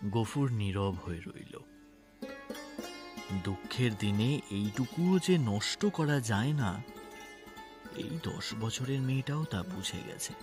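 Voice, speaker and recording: A man narrating a literary story dramatically, in a measured and expressive way. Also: on the low side. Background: background music.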